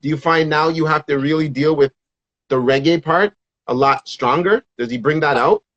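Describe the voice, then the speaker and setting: Male host, higher voice, eager and rambling, dry muffled recording. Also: moderate pace, a bit bright, no room sound. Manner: enthusiastic, rambling questions. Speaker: show host. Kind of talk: casual interview. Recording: dull dry sound.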